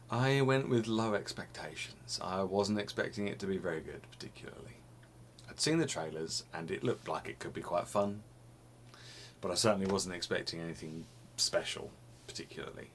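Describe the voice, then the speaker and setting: A young man with a very deep, low voice talks loosely to the camera, circling back and repeating himself as he goes. He sounds a little sniffly. It is recorded on a desk camera in a small room at home, with a faint hiss behind him. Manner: rambling, restarts phrases, laughs often. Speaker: vlogger. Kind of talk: casual chat to camera.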